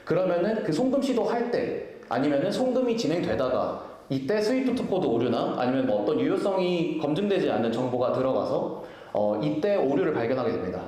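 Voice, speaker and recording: A man's voice: on the high side, big pitch swings, a little fast, dark tone. A man giving a business conference presentation in a polite, formal explaining way, with frequent hesitations and restarts, in an echoey event hall. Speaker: product owner presenting at a company event. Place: indoor event venue, presenting to an audience.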